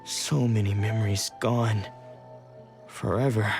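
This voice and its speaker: A man's voice: on the low side, fairly even intonation, slow pace, vocal fry, darkish tone, faint music behind. A man playing a stern, brooding swordsman in a video game, speaking in a terse, solemn manner. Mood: troubled, wistful. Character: stern, brooding swordsman who has lost his memory.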